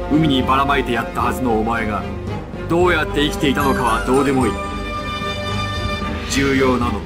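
A man voicing a ruthless, imperious crime boss in a dramatic anime video game, theatrical, menacing and deliberate. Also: close to an everyday voice. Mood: cold, accusing. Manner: theatrical, menacing, deliberate, swinging from low murmur to shouts. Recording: loud music over game voice clips. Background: loud game music.

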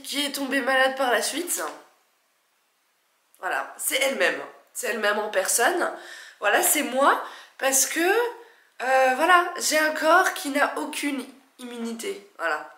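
A young woman in her twenties with a stuffy nose from a cold, chatting excitedly and poking fun at herself while she unboxes a plant in her living room. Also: high voice, takes it slowly, roomy sound. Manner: chatty, excitable, self-mocking. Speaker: plant vlogger. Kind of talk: casual unboxing vlog.

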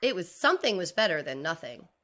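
A middle-aged woman reading a children's picture book aloud like a teacher, expressively and with deliberate enunciation. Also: lowish voice. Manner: deliberate enunciation, animated for young listeners. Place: indoors, video recording.

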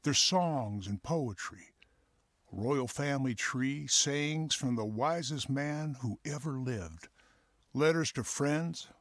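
A man's voice: mid-range voice, dry recording. Fatherly counselor, earnest, preachy, dry close sound. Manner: earnest, teaching. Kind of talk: radio drama acting.

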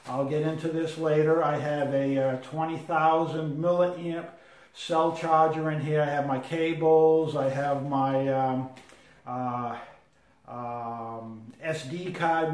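Man, 50s, rambling gear talk, echoey and distant from the camera. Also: a little high. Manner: rambling, halting with many fillers and restarts. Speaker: hiker showing gear. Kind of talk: casual gear walkthrough. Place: sunny living room with big windows. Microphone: camera across the room. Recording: roomy, from far off.

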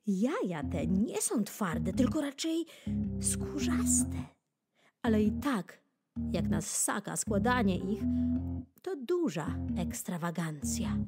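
Woman storyteller, very lively, slow-paced, over music. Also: fairly high pitch. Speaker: narrator reading a children's nature book. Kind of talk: expressive scripted audiobook narration. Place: studio. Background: keyboard music.

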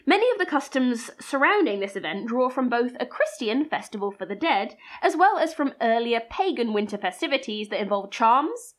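A British woman co-hosting a folklore podcast, mixing scripted narration with dry, playful banter. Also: a little high. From Britain. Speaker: podcast co-host.

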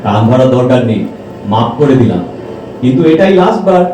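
A man's voice: medium pitch, big pitch swings, a little fast, mellow, close to an everyday voice. An actor playing an affectionate, teasing young man in an audio drama. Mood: mock-offended. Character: Rahul, affectionate, teasing, coaxing young male companion. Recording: echoey, distant, noisy ambience.

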